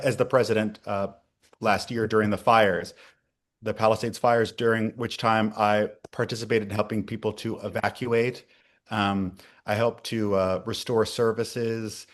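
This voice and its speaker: A man introducing himself as a candidate at a forum. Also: lowish voice. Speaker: congressional candidate.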